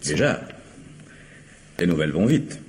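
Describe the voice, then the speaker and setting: A man playing a probing, sceptical police commissioner with a deep, husky voice and measured manner in a radio mystery. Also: very animated, a little mellow, slight background noise. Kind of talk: radio mystery drama acting.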